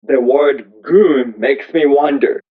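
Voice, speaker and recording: A man reading a first-person story aloud as scripted narration, in slow, deliberate, measured phrases. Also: mid-range voice, husky. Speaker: audiobook reader. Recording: thin, phone-like narrow-band audio.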